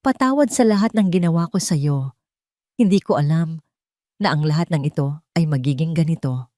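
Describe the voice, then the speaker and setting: A woman's voice: low voice, normal speed, mellow, dry recording, lightly acted. Woman narrator as older mother, regretful and apologetic, romance audio story, dry sound. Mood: remorseful. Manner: contrite.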